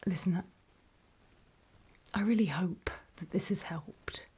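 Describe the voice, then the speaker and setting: A woman playing a grave, weary apocalypse survivor in a horror ASMR roleplay, speaking in a soft, breathy, hesitant whisper. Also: lowish voice, slow pace, exaggerated voice. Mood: earnest, hopeful. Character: grave, weary female apocalypse survivor briefing others on zombies.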